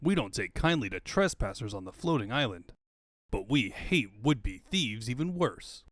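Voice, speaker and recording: A man's voice: medium pitch, big pitch swings, moderate pace, a bit bright, dry recording, clean studio sound. Man as a tough, combative cartoon echidna guardian. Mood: stern, proud. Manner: stern, threatening. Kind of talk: comic book fandub acting.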